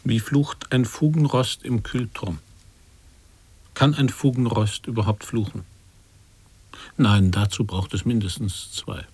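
An actor playing a man who earnestly ponders absurd riddles in a radio play, deliberate and mock-scholarly. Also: lowish voice, steady tone, darkish tone, breathy edge, no room sound. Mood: questioning. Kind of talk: avant-garde radio play recitation.

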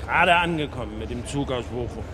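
An actor playing a naive, jovial middle-aged shop owner from the provinces, chummy and boastful, in a crime radio play. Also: male voice, mid-range voice, quick pace, background noise, natural voice. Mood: affable.

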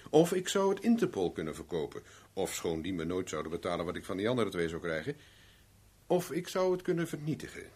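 An actor playing a self-assured, probing private investigator with dry irony in a 1970s radio drama. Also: male voice, low voice, very animated, fairly quick, darkish tone, slightly rough, exaggerated voice. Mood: dry, sly.

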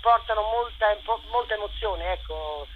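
A woman theatre director speaking as a guest by phone, halting and searching for her words. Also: mid-range voice. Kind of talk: radio interview by phone. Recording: tinny phone line with faint noise.

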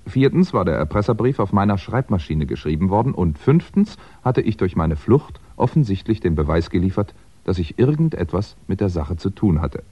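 Wry crime novelist, very deep voice, measured and precise, radio thriller storytelling, muffled sixties recording. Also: male voice, very animated, warm tone, slightly rough, full character voice. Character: wry, articulate male crime writer narrating his own predicament. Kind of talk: 1960s German radio drama, first-person narration.

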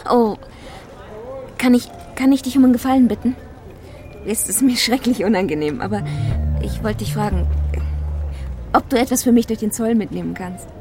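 A woman's voice: on the high side, moderate pace, long pauses, darkish tone, natural voice. Woman doing a shy flirty stewardess, kinda hesitant, music behind her. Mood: coaxing.

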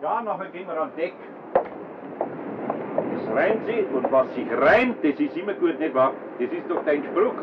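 A man's voice: a little high, lively intonation, normal speed, natural voice. An actor playing a kindly, fretful older man with a Bavarian accent, hesitant and repeating himself, in a children's radio play. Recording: dull, noisy old radio play.

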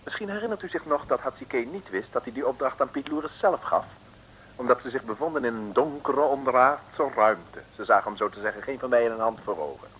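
A man's voice: medium pitch, fairly quick, a bit bright, a little hiss or hum, poor audio. A man narrating a scripted radio serial in a wry, chatty storytelling manner, on a vintage recording.

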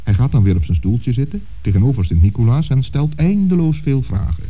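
A man's voice: medium pitch, lively intonation, a little fast. A man narrating a scripted children's story as the narrator of a radio play. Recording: dull old radio play recording.

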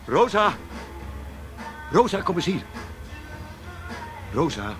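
A man playing a gruff, pressing character in a naturalistic radio crime drama. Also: high voice, big pitch swings, slow, music in the background, full character voice. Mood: insistent.

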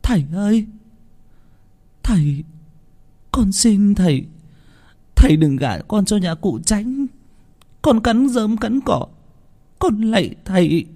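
A man's voice: medium pitch, normal speed, pauses often, warm tone, husky, lightly acted. A man voicing a frightened young woman who begs through tears in a told ghost story. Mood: tearful begging. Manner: desperate, tearful begging.

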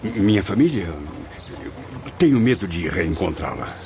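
An actor in a radio drama playing an anguished, fearful spirit of a writer, speaking in formal, solemn language. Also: male voice, sits low, sing-song, a little slow, noisy background. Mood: fearful, troubled. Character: Camilo, anguished, fearful male writer's spirit, long dead by suicide. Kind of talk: Brazilian radio drama acting. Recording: dull lo-fi radio drama recording, music bed. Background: music.

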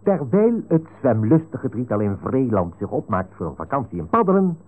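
A Dutch man narrates a radio serial with mock solemnity. His mid-pitched voice is very expressive and slightly husky, on a dull old recording with music.

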